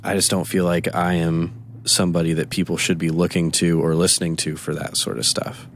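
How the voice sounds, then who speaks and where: A man with a very deep voice talks loosely in a casual podcast chat. He rambles and acts out little quoted exchanges as he tells a story. A faint hiss sits under the recording. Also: little pitch movement, brisk. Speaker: podcast co-host.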